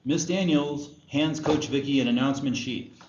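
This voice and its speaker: A man's voice: mid-range voice, moderate pace, darkish tone. A man narrating stage directions at a screenplay table read. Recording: echoey room, muffled, faint noise.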